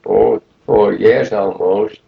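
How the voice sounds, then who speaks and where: A man, recalling the past in a rambling, halting way full of fillers in an oral history interview. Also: on the low side, vocal fry. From Netherlands. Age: 80s. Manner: rambling, halting, many fillers like "you see". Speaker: interviewee, war veteran. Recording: roomy lo-fi 1980 tape.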